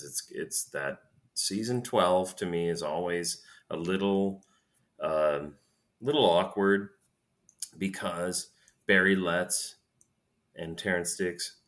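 Deep, bright-toned man, hesitant chat. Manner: hesitant, repeats words, laughs often. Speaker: podcast guest. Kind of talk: casual chat.

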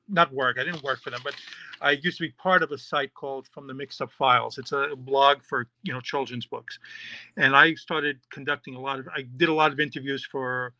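Bright-voiced chatty middle-aged man. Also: a little high, no echo, poor audio. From New York. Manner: chatty, rambling, many fillers and restarts. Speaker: podcast host and children's author. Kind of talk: casual interview answers.

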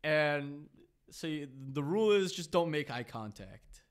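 A man's voice: on the high side, animated, measured pace, smooth. Tired young man telling loose stories. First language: Russian. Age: young adult. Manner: loose, laughing storyteller, heavy slang. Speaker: podcast guest. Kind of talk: casual chat.

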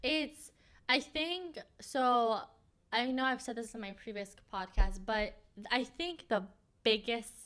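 A young woman with Farsi as her first language talks openly on her podcast, pausing often and searching for words. Her voice is bright and crisp. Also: on the high side. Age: young adult. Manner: reflective, open, halting with fillers. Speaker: podcast host. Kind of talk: casual heart-to-heart chat.

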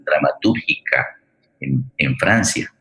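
A man with an extremely low voice hosts a radio programme. He talks in long, formal, courteous sentences, often pausing and restarting as he searches for the next phrase. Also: lively intonation, noisy background. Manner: halting, wordy, formal and courteous. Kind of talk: unscripted, welcoming introduction of a guest and play.

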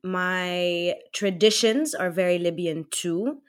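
A woman's voice: fairly low pitch, slow. A woman in her twenties from Egypt and America, chatting casually and reflectively as an interview guest. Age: 20s. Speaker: podcast guest.

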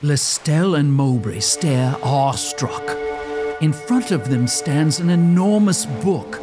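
A man narrating a gothic audio drama as a scripted story, in a theatrical, suspenseful manner. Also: on the high side.

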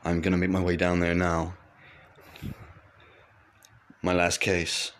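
An actor playing a frightened fugitive private eye who stammers and talks in hushed tones. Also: male voice, low-pitched, completely flat delivery, slow. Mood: urgent. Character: scared fugitive male detective, paranoid.

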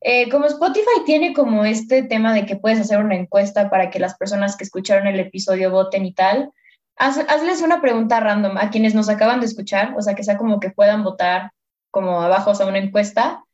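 A woman's voice: medium pitch, fairly quick, darkish tone. A young woman hosting a podcast, talking in a laid-back, friendly, rambling way. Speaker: podcast host. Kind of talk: casual chat. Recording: slightly dull lo-fi podcast audio.

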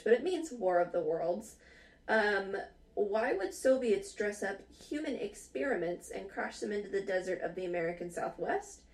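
A woman's voice: mid-range voice, darkish tone, distant mic. A woman hosting a casual podcast chat, reading out odd news stories, giggling often and swearing casually. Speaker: podcast host.

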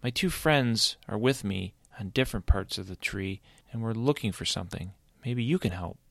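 A man's voice: lowish voice, normal speed, creaky, dry recording, studio quality. A man voicing a cautious, polite young man exploring underground.

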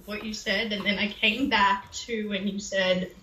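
A woman's voice: medium pitch, level tone, a little slow, sharp tone, roomy sound, a little hiss or hum, rough recording. A woman co-hosting a podcast from home, chatting in a laid-back, blunt, self-deprecating way. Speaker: podcast co-host. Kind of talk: casual chat.

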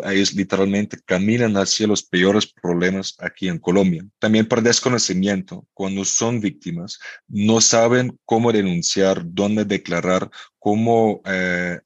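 Man, Dutch native speaker, very low voice, guest journalist, hesitant measured delivery. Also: dry recording. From Netherlands. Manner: measured, frequent hesitations and restarts. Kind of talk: podcast interview answers.

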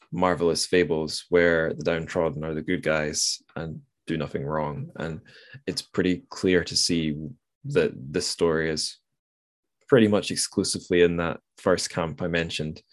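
A man's voice: sits low, fairly even intonation, unhurried. A man hosting a podcast, talking casually about literature in a rambling, musing way as he thinks aloud. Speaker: podcast host.

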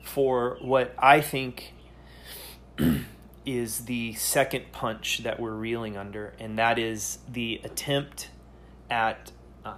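A man hosting a solo podcast, speaking earnestly and haltingly, often pausing to search for words. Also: medium pitch, fairly bright tone, a little hiss or hum. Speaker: podcast host.

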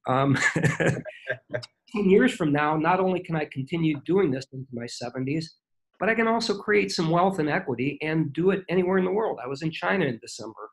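An older man chats easily as a podcast guest, wandering through self-deprecating stories full of ums and restarts. His voice sits a little high for a man. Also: rough recording. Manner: rambling, self-deprecating storyteller with many fillers. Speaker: guest, franchise consultant. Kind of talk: casual interview chat. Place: home.

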